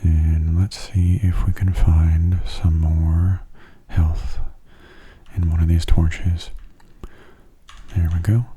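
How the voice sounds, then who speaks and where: A man speaks in a low, very dark and mellow voice with a strongly breathy, husky texture. His delivery is soft and gentle, often close to a whisper, as he calmly explains gameplay in ASMR style. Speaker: video game commentator.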